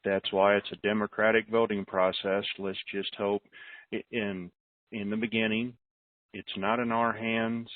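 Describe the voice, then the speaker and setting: A man's voice: lowish voice, flat delivery, measured pace, dry recording. A man hosting a call-in conference, speaking casually in brief, polite turns. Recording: dry narrow phone-like call audio.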